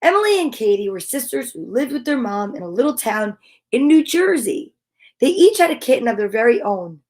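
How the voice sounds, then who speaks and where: A woman's voice: fairly high pitch, sing-song, a little fast, darkish tone. A woman hosting a live children's story time, reading aloud and chatting to viewers in an upbeat, gushing, encouraging way.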